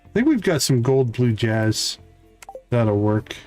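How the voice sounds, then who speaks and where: A man's voice: medium pitch, very animated, takes it slowly, darkish tone. Man thinking aloud over music. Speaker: game streamer, host. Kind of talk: casual live gameplay commentary.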